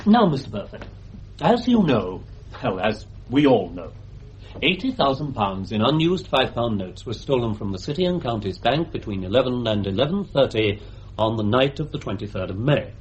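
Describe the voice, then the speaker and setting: Guy acting courteous english barrister, formal but really animated, muffled old radio recording with some hiss. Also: medium pitch, moderate pace, lightly acted. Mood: probing. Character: polite, persistent male defence barrister. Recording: dull, noisy archive radio recording.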